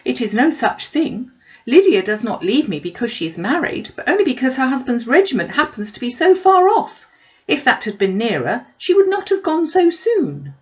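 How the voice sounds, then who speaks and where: Woman playing a fussy middle-aged mother, raised animated pitch, gushing, fretful, self-pitying, classic novel reading, slightly muffled sound. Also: normal speed. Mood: indignant. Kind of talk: classic novel read-aloud.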